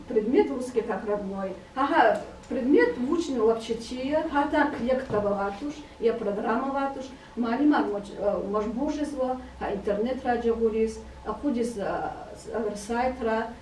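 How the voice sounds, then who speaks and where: A woman researcher giving a round-table report, halting often with fillers and restarts. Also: a little high, a little mellow, slight background noise. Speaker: education researcher. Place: meeting room. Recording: roomy hall from far off.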